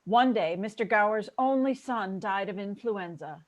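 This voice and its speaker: A woman's voice: medium pitch, normal speed, lightly acted. Woman playing a kindly, commanding chief angel. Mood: storytelling, instructive. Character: female superintendent of angels, brisk kindly authority. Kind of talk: old-time radio drama acting, storytelling. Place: remote video call recording.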